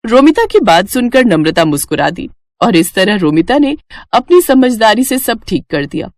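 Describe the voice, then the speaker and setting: A woman's voice: medium pitch, sing-song, moderate pace, no room sound. A woman storyteller reading scripted narration of a family moral story.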